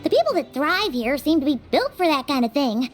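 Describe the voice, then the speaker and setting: A tiny floating fairy girl chirps in a very high, bouncy voice that leaps all over the scale. She is excitable and chatty, and cheerful music plays clearly behind her in this game scene. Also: brisk. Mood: musing. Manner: bubbly, exclamatory, refers to herself in third person. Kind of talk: video game voice acting.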